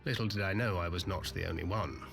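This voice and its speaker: Grave, guarded scout. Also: male voice, deep voice, fast talker, vocal fry, fairly bright tone, faint music behind. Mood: grave, explanatory. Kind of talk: video game cutscene acting.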